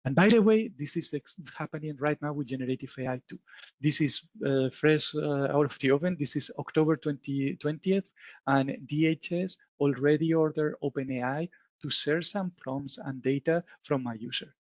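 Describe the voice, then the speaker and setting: A man's voice: a little high, normal speed, dry recording. A man with a Spanish accent giving a conference talk, explaining step by step with many fillers and frequent "right?" checks. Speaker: lecturer at a symposium. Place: lecture hall.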